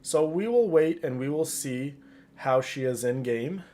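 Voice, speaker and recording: A man's voice: on the high side, animated, a little slow, dark tone. A man chatting casually and familiarly to his viewers while streaming a video game. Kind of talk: casual live stream chat. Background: game music.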